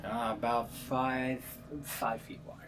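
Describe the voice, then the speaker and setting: A man's voice: medium pitch, flat delivery, slow pace. Man, tabletop game master, improvised descriptive narration, noticeable background noise.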